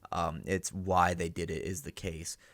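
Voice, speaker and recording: A man's voice: low-pitched, flat delivery, unhurried, a bit bright, no room sound. A man giving casual reaction commentary, thinking aloud and often checking in with rhetorical questions. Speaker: reaction and analysis host.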